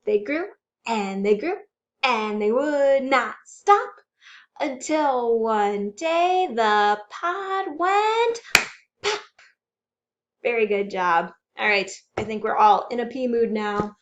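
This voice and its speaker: A woman hosting a children's storytime, reading aloud and chanting rhymes in an animated, exaggerated way for young children. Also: on the high side, slow, dull audio. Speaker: children's librarian storytime host.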